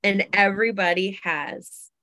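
Female interview guest, conversational and reflective, slow-paced delivery, medium pitch. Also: fairly even intonation, sharp tone, poor audio. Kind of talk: conversational self-help discussion.

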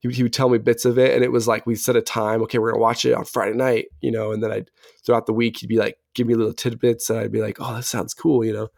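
A man chatting casually as a podcast guest in a rambling, hesitant way, his voice a little raspy as he gets over an illness. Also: mid-range voice, little pitch movement, rapid-fire, a little mellow, no echo, studio quality. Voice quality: slightly raspy. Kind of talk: casual chat.

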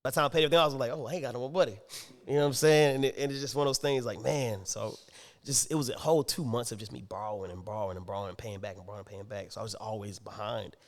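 A man hosting a casual faith talk show, telling a story in a slangy, excitable way and acting out the voices he quotes. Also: mid-range voice, very fast. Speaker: podcast host. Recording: long hall reverb.

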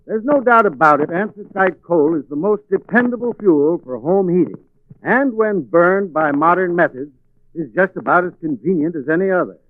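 A man's voice: high voice, expressive, moderate pace, dry recording. An American man delivering a scripted 1940s radio commercial as a sponsor's spokesman. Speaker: advertising spokesman. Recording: tinny, dry old radio broadcast.

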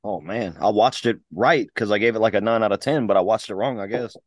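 A man's voice: lowish voice, fairly quick, no room sound, dull audio. Rowdy crude-joking man from Louisiana. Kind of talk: casual chat.

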